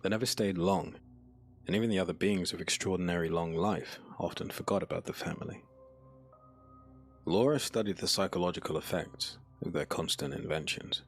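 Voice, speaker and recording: A man narrating a dark fantasy audiobook in a solemn, measured, dramatic manner. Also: sits low, soft background music.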